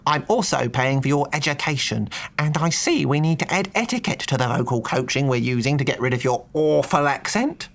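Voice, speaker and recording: A man's voice: mid-range voice, animated, a little fast, gravelly, hardly any pauses, a bit bright, pitched above the performer's own voice. A man voicing a blustering, short-tempered aristocratic lord who talks with indignant bluster.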